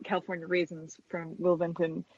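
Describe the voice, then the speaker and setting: A woman's voice: fairly low pitch, completely flat delivery, fairly quick, slightly rough, dull audio. A woman in her thirties chatting casually and enthusiastically as an interview guest. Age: 30s. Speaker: guest, stop-motion animator.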